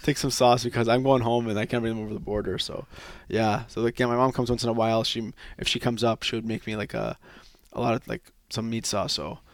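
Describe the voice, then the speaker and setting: A young man from Quebec, a hockey player guest, chatting casually in a relaxed, rambling way with lots of fillers. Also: medium pitch, fairly quick, few pauses. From Quebec, Canada. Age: young adult. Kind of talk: casual interview chat.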